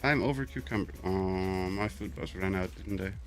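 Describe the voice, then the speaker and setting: A middle-aged man with a fairly low voice and a New York accent talks through his game stream in a loose, chatty way. He often stutters and repeats himself mid-sentence. Faint game music plays under him. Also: normal speed. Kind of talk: casual gameplay commentary.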